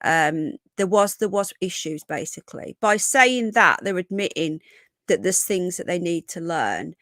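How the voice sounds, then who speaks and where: A middle-aged British woman with a croaky, congested voice from a cold, hosting a casual live stream where she reads news aloud and chats to viewers. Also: fairly low pitch, animated, unhurried, a bit bright, no echo, studio quality. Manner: chatty, reads chat comments aloud and greets viewers.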